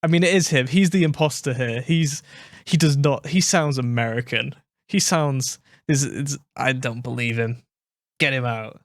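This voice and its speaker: A man's voice: mid-range voice, normal speed, dry recording, studio quality. A British man hosting a reaction video, chatting casually and thinking aloud with fillers and restarts.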